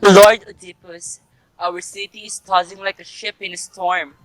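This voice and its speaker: A man's voice: high voice, flat delivery, a little slow, a bit bright, no echo, rough recording. A man playing a grave, reverent townsman and storyteller in a formal, dramatic reading of a Greek tragedy. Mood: pleading. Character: grave Theban townsman and messenger, pleading and reverent. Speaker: narrator and chorus actor. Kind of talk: staged readers' theatre of Greek tragedy.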